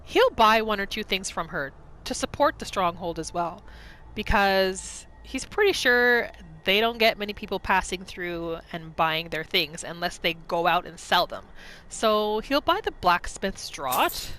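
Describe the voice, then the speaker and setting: Bright, animated woman gamer. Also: medium pitch, measured pace, low-quality recording. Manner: musing aloud, expressive, questioning. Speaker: gaming video host. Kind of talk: role-play game commentary, narrating her character's thoughts.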